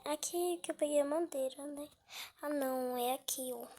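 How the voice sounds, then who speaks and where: A woman's voice: high-pitched, moderate pace, warm tone, a little hiss or hum. A woman with a cold, giving casual gameplay commentary; she is chatty and easily startled, and often drops to a whisper. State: has a cold. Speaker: gaming channel host.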